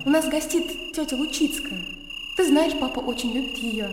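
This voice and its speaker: A woman's voice: high voice, moderate pace, noisy background, lightly acted. An actress playing a carefree, frank young country lady in radio drama dialogue. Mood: breezy. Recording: echoey, distant, chirping crickets behind.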